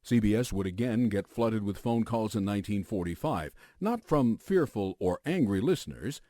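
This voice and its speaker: A man's voice: fairly low pitch, lively intonation, normal speed, warm tone, dry recording, studio quality. An American man hosting a radio documentary, reading scripted narration as a news anchor would.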